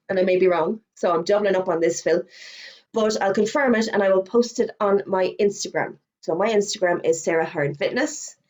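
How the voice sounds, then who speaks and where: A middle-aged woman with an Irish accent, a fitness instructor giving a webinar presentation, chatty, with quick asides and self-corrections, her voice going hoarse as she talks. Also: medium pitch, a little mellow, dull audio. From Ireland. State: losing her voice.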